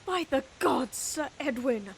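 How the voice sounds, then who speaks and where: A woman's voice: sits high, lively intonation, unhurried, gravelly, noisy background, full character voice. A woman playing a proud, indignant lady knight in a fantasy audio roleplay, haughty and formal with a cutting tongue. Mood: indignant sarcasm.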